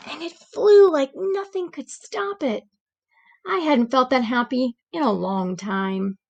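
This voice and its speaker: A woman voicing a gentle, anxious but persevering egg who narrates a picture book, with deliberate, expressive pauses. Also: a little high, vocal fry, exaggerated voice. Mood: hopeful. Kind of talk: picture book read-aloud.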